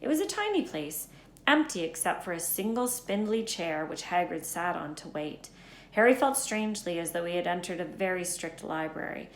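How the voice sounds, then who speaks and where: A woman with a slightly low voice reads a children's story aloud as scripted narration. The recording is noticeably roomy and echoey. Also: very animated, normal speed, slight background noise. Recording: echoey room.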